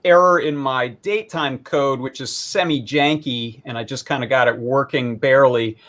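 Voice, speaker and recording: A man's voice: medium pitch, normal speed, fairly bright tone. A man giving a casual, informal live demo, explaining technical details in a rambling, self-deprecating way. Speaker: maker presenting a hobby electronics project.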